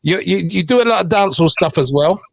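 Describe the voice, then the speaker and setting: A man's voice: high voice, lively intonation, quick pace, vocal fry, no room sound. A middle-aged man hosting a radio show, chatting and teasing his guest in a casual interview.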